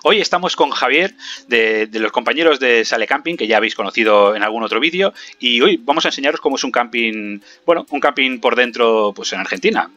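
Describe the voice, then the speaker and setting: A man's voice: medium pitch, expressive, a little fast, sharp tone, lo-fi sound. A man in his thirties with an accent from Spain, hosting in a casual, chatty style on a video call. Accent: Spanish (Spain). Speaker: host. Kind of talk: casual presenting and chat. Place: indoor room, video call.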